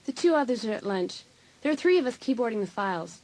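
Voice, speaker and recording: A woman's voice: fairly high pitch, very animated, quick pace, darkish tone. An actress playing a forthright, breezy teenage girl, the heroine's friend, in a dramatised audiobook. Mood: casual. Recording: music clearly behind the voice.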